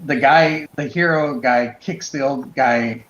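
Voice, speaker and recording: A man's voice: mid-range voice, slow, fairly bright tone. A man chatting casually as a podcast panelist, telling an anecdote. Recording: dull, roomy, faintly noisy group recording.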